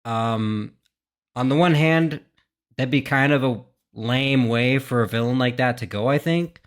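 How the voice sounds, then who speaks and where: A man hosts a gaming reaction video in a middle-pitched voice. He talks casually and without a script, working through his thoughts aloud with hesitations. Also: measured pace, clean tone. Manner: hesitant, thinking aloud, mild swearing. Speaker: YouTube gaming reaction host. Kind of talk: casual unscripted reaction commentary.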